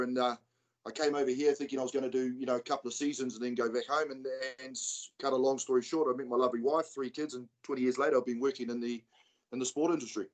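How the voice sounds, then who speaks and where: A man's voice: mid-range voice, rough recording. A New Zealand man in his forties, a rugby coach, talking casually on a video call with lots of fillers. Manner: relaxed, rambling, many fillers.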